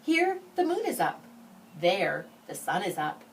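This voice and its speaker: A woman voicing a loving elderly grandmother in a storybook letter, tender and measured. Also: medium pitch, wildly swooping pitch, distant mic, a little hiss or hum. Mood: loving, wistful.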